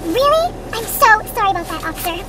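A woman's voice: extremely high pitch, sing-song, fast talker. A woman voicing a giggly, ditzy doll girl in a homemade comedy video, squealing and exaggerated. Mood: giddy. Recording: loud background noise.

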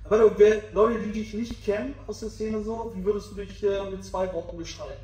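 A man's voice: sits high, steady tone, brisk, mellow, recorded from a distance. A young man interviewing a DJ, asking long, rambling questions full of fillers. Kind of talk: casual interview. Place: small backstage room with sofa, indoors. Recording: echoey room, voice off-mic.

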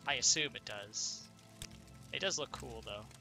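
Male let's-play commentator, congested, conversational and self-questioning, game soundtrack clearly audible behind him. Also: mid-range voice, a little slow, music in the background. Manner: chatty, thinks aloud, asks himself questions. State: stuffed up, congested. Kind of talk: casual gameplay commentary.

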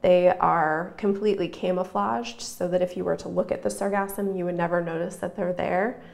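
Gravelly-voiced middle-aged woman scientist. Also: fairly low pitch, steady tone, moderate pace, a little mellow. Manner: thoughtful, explanatory, some fillers. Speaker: marine scientist. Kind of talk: interview. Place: office.

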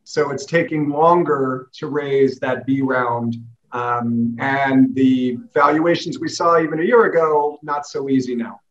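A man in his forties, a startup founder, answering in a measured way with many filler pauses on a video call. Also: mid-range voice, steady tone. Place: office room. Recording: dull, lo-fi video call audio.

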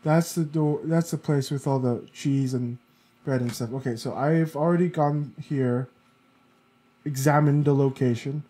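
A man's voice: medium pitch, fairly even intonation, measured pace, dark tone, slightly rough, poor audio. Sniffly man muttering through a game. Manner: muttering to himself while playing. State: sniffly, congested. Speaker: let's play gamer. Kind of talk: casual gameplay commentary.

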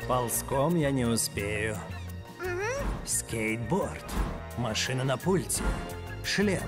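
A woman voicing a clever, grumpy baby boy in a cartoon dub, muttering sarcastic asides over loud music. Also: rumbling low, expressive, takes it slowly, exaggerated voice. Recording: studio voice with loud music behind.

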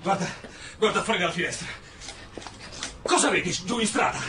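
An agitated young commissioner in a radio drama. His voice is fairly high and very changeable, urgent and halting as he repeats himself. Clear noise sits behind him. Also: expressive. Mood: panicked, urgent. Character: agitated, insistent young police commissioner on edge.

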